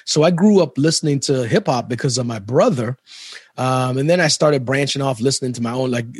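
A man's voice: medium pitch, brisk, breathy edge, dry recording, clean studio sound. A middle-aged man from Trinidad, a musician and chef, chatting casually in an interview, laid-back and rambling, laughing often.

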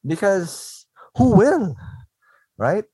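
A man from the Philippines who speaks with an American-sounding accent he learned at a call center, hosting a casual interview with emphatic, animated delivery. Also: high voice, very slow, husky, no room sound. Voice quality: animated, emphatic, laughs often. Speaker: podcast host.

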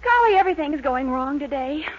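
Brief: spirited young sweetheart, very high and bright, lively pitch, old radio sound. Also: female voice, a little fast, a little hiss or hum. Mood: fretful. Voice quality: bright, expressive comedy delivery. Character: lively, exasperated young woman. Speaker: Dennis's girlfriend Mildred. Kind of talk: 1940s radio sitcom acting. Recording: dull old radio broadcast.

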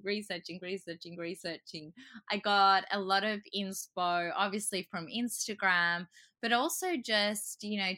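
A woman's voice: medium pitch, pushed and bright. A woman from Croatia, a podcast guest, talking chattily in an interview, laughing often and acting out what people said. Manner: chatty, laughs often, acts out quoted remarks. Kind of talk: casual interview chat.

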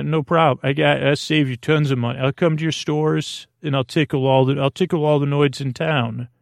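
Man, creaky gravelly voice, dry close-miked sound, halting bedtime rambling, gently whimsical, soothing podcast host. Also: medium pitch, no echo. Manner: meandering, halting, trailing off mid-word and circling back. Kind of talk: rambling bedtime-story monologue to help listeners fall asleep.

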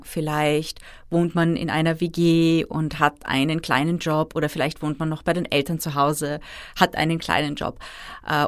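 Woman in her 30s, lowish voice, methodical expert guest, dry close sound. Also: no echo. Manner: explanatory, methodical. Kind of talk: interview answers.

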